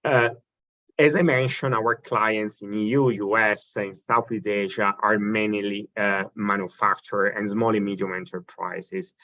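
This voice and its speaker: Italian-accented male presenter, lowish voice, halting delivery full of fillers, muffled lo-fi webinar sound. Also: fairly even intonation, sharp tone. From Italy. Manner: halting, many fillers and restarts. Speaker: business development director.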